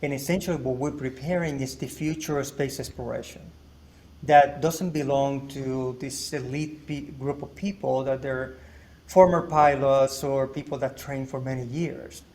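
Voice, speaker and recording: A man's voice: mid-range voice, fairly even intonation, normal speed, darkish tone. A man, a podcast guest, explaining his points confidently in casual conversation. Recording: faint background noise.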